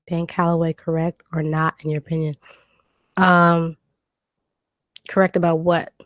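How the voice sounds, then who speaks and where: A woman hosts a casual livestream, her voice a bit low and hoarse from a cold. She reads out viewer comments and answers them in a loose, conversational way, filling pauses with ums. The sound is dry and close, with no room echo. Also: flat delivery. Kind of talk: casual live stream chat.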